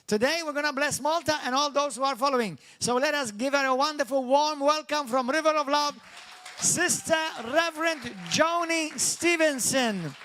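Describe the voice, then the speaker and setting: Maltese man, pastor, bilingual sermon, high-pitched, earnest, restarts mid-phrase. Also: normal speed, bright, forceful tone. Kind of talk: sermon, translating himself between English and Maltese.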